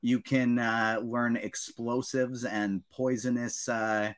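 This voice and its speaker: A man's voice: mid-range voice, little pitch movement, slow pace. A man hosting a casual panel discussion, chatting informally. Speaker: podcast host.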